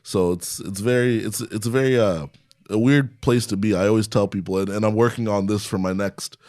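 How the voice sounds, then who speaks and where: Low-voiced young man, casual and chuckling. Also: steady tone, fairly quick, a little mellow, dry recording. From Canada. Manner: chuckles often while talking. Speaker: stand-up comedian, interview guest. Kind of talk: casual conversational interview.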